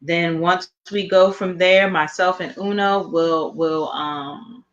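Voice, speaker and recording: A podcast co-host with a slightly raspy, tired voice, making casual show announcements in a halting way that repeats words. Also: mid-range voice, fairly even intonation, fairly bright tone, dull audio, slight background noise.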